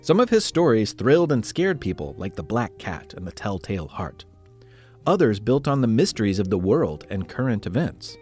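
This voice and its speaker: A man's voice: lowish voice, a little mellow. A man narrating scripted history as a podcast host, in a playful, conversational storytelling way with dramatic emphasis.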